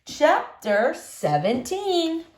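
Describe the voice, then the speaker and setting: A woman reading a children's book aloud as scripted, expressive narration. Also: high voice, extremely slow. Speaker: storybook reader.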